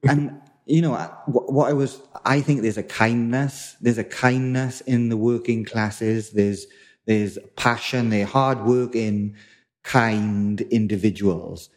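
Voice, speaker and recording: A man's voice: mid-range voice, fairly even intonation, slow. A middle-aged man from South Wales co-hosting a casual podcast chat, rambling and restarting as he hunts for words. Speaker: podcast co-host.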